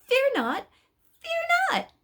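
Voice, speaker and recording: A woman voicing a small, excitable angel who exclaims in a storybook read-aloud. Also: extremely high pitch, slow, very noisy, pitched above the performer's own voice, heavily acted. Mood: excited, loud.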